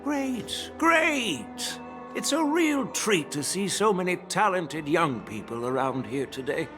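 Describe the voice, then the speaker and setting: Casting: easygoing old general, slow and unhurried, pitch swinging widely, music behind. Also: male voice, exaggerated voice. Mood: genial. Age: older. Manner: relaxed, chuckling, avoids taking sides. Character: genial elderly male general, easygoing grandfatherly. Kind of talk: game cutscene voice acting. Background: background music.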